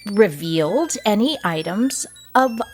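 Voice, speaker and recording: A woman playing a stiff, grudging assistant chief in an audio comedy, with insincere politeness and pained pauses. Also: medium pitch, expressive, faint music behind, close to an everyday voice. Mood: icily polite.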